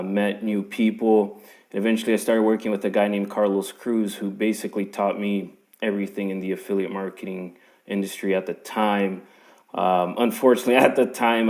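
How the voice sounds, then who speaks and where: A young man from Canada with a fairly low voice talks in an online audio chat room. He rambles easily, filling pauses with ums as he tells how he got into his work. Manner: relaxed and rambling, many ums. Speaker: guest account manager. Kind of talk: casual self-introduction on an audio chat room.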